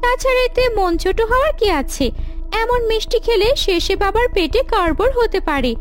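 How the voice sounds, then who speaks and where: A woman voicing a haughty, greedy young married daughter in a cartoon, scolding and nagging in a sharp, dismissive manner. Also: very high voice, expressive, moderate pace. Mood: scheming, dismissive. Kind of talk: cartoon moral-story voice acting. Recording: music behind the voice.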